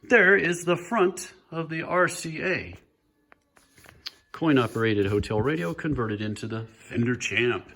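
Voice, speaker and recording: A man's voice: mid-range voice, lively intonation, measured pace, sharp tone. A man casually presenting a hobby build in an informal, chatty, lightly joking way, recorded on a handheld phone. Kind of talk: casual show-and-tell walkthrough.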